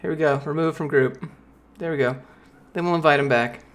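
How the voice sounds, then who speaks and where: A man in his late twenties hosting a casual livestream chat, relaxed and joking. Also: fairly high pitch, fairly quick. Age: 20s. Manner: relaxed, joking, playfully insistent. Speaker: livestream host.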